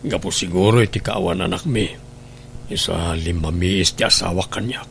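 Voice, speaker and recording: A man's voice: sits low, normal speed, creaky, slight background noise. A man acting in a radio drama as a hurt, resigned husband whose wife has left. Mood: dejected.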